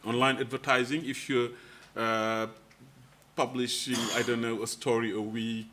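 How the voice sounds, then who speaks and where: A man's voice: mid-range voice, level tone, measured pace, sharp tone. A man on a conference panel talks candidly and casually, with a few fillers and an easy tone.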